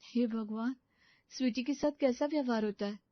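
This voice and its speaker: Woman acting high-voiced, put-upon young wife. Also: normal speed, no echo, lo-fi sound, natural voice. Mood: dismayed. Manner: pleading, dismayed, soft-hearted. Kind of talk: Hindi audio story character acting.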